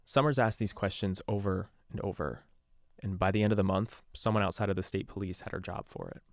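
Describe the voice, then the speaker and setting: An actor playing an embittered, haunted radio DJ who narrates a secret case file conversationally for a podcast drama. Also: male voice, low-pitched, fairly even intonation, fairly quick, no room sound, studio quality. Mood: grave recounting.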